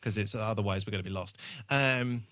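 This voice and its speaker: A man's voice: fairly low pitch, a little fast, a bit bright, dry recording. A man co-hosting a podcast, chatting casually through an episode review in a hesitant way, often trailing off and correcting himself. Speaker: podcast co-host.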